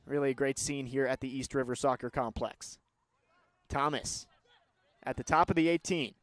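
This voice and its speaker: A young man calling live sports play-by-play, moving between measured description and excited calls. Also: mid-range voice, no echo. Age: young adult.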